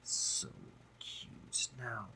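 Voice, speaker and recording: A man playing a brooding, sardonic drider monster, a guarded but caring doctor, in an intimate roleplay audio drama, speaking formally with halting pauses. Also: sharp tone, slight background noise, heavily acted. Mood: teasing, reluctant.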